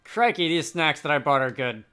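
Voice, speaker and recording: A man's voice: a little high, expressive, moderate pace, bright, forceful tone, smooth, soft background music. A man voicing a cheery, food-loving male scholar adventurer from a game, breezy and colloquial. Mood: cheerful.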